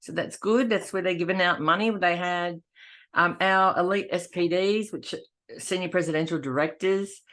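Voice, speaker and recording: A woman's voice: fairly low pitch, normal speed, fairly bright tone. A middle-aged woman with an Australian accent giving an informal team update in a loose, rambling way with many restarts.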